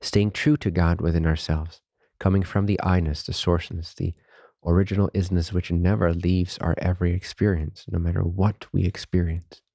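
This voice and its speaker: A man guides a meditation in a very low, mellow voice. He speaks softly and calmly, leaving long pauses between reverent phrases. His delivery stays very even, close and dry. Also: gravelly, no room sound. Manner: calm, unhurried, reverent spiritual phrasing. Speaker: meditation teacher.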